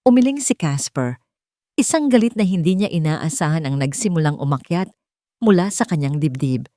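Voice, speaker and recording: A woman's voice: low-pitched, lively intonation, moderate pace, mellow, no echo. A woman with a Filipino accent reading a dramatic romance story as a scripted narration.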